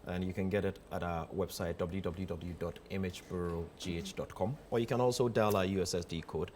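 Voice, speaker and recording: Deep male voice, Ghanaian accent, casual interview guest, repeats himself for emphasis, noticeable background noise. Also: fairly even intonation, a little fast, a little mellow. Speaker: interview guest, theatre producer.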